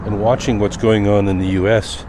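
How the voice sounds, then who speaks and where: A man talking off the cuff in a personal vlog, reflective and rambling with thoughtful pauses. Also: on the low side, little pitch movement. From Canada. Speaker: daily vlogger. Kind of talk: unscripted personal vlog monologue. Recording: noisy outdoor recording with vehicle sounds.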